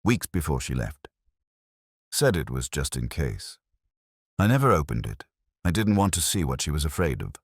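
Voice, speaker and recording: A man's voice: very deep voice, big pitch swings, moderate pace, long pauses, no echo, studio quality, full character voice. A man voicing an uneasy, earnest woman who confides in police in an audio mystery. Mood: regretful.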